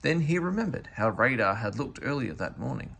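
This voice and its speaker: A man reading a children's storybook aloud as an expressive narrator. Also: mid-range voice, brisk, creaky, slightly rough. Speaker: storybook reader.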